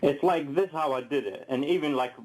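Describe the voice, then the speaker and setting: A man's voice: fairly high pitch, a little fast, poor audio. Combative profane man from Iraq. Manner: combative, profane, yells insults. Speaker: podcaster on a call-in. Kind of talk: heated talk radio guest.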